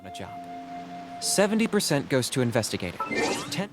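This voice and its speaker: Man as a businesslike hired hand under loud music. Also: mid-range voice, big pitch swings, close to an everyday voice. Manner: deliberate, matter-of-fact. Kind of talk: video game voice acting.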